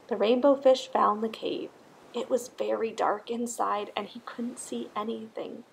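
A woman narrating a children's storybook aloud in an expressive, scripted style. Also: medium pitch, vocal fry, a little hiss or hum, poor audio. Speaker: storybook reader.

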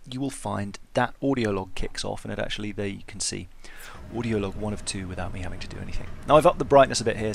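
A man's voice: on the low side, creaky, slight background noise. A man giving an offhand, chatty game walkthrough voice-over, with self-deprecating asides. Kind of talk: casual walkthrough commentary.

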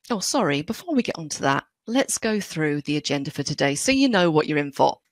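Middle-aged London-accented woman trainer, lively and lowish, recorded dry and close. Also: no room sound. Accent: London English. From England. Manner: chatty, explanatory, animated delivery. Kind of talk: webinar software tutorial.

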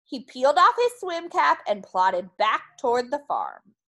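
Slow, high-voiced woman reading to kids. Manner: animated, deliberate storytelling for young children. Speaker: art teacher reading aloud to children. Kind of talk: expressive picture-book read-aloud.

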